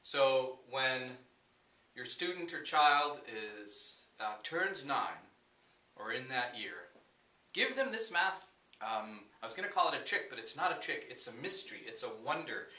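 A man in his forties gives a math lesson at a chalkboard, unhurried and full of wonder. His voice is bright and forward, picked up by a camera some distance away in a roomy, echoing space. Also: medium pitch, big pitch swings. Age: 40s. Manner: slow, unhurried, wondering, with fillers. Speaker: teacher. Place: room with a chalkboard, indoors. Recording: echoey room, voice from a distance.

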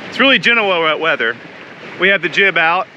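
A man in his forties chatting casually to camera as a sailing vlog host, relaxed and easygoing. He is outdoors on a sailboat at sea, filmed on a close handheld action camera. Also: on the high side, brisk, lo-fi sound, slight background noise. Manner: relaxed, chatty, laughs easily. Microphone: action camera held close at arm's length.

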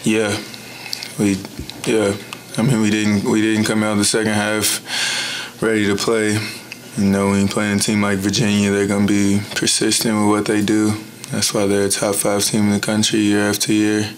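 Young male athlete, low voice, monotone, blunt and measured at a press table mic. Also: slight background noise, low-quality recording. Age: young adult. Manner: measured, even, blunt, frequent "you know". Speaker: college basketball player. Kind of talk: postgame press conference answers. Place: indoor press room. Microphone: table mic in front.

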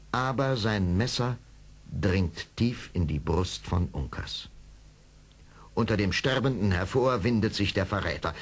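A man with a husky voice narrating an adventure radio play dramatically from a script. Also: medium pitch.

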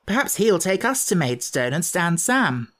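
A woman's voice: fairly low pitch, very animated, measured pace, pitched above the performer's own voice. A woman reading a children's storybook, voicing a blunt, practical schoolboy brother with old-fashioned slang. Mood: hopeful.

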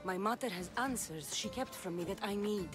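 A woman's voice: medium pitch, normal speed, music in the background, natural voice. An actress voicing a resolute female mercenary warrior in a video game, speaking firmly and directly. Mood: earnest, determined. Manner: firm, direct, earnest. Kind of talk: dramatic video game acting.

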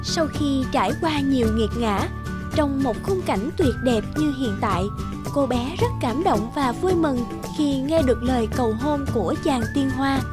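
A woman reads a scripted fairy tale for children as narrator. Her voice is high, and music plays clearly behind it. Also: lively intonation, moderate pace.

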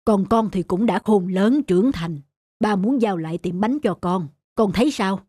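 A woman voicing a calm, affectionate elderly father and bakery owner in a measured, fatherly way for an animated story. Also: medium pitch, no echo, clean studio sound, natural voice. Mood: earnest. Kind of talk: animated moral-story acting.

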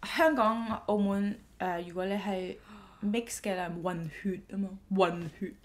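A woman's voice: mid-range voice, measured pace. A woman co-hosting a podcast over a video call, chatting playfully and hopping between English and Cantonese. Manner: animated, playful, jumps between languages. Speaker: podcast co-host. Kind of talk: casual chat mixing English and Cantonese. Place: home in Florida.

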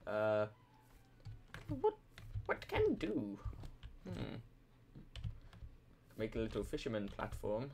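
A young man, just out of bed, drifts through commentary on his game in an unhurried, self-mocking ramble. His morning voice is deep and gravelly with fry. A clear layer of background noise sits under the home recording. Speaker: gameplay commentator. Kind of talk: casual gameplay commentary.

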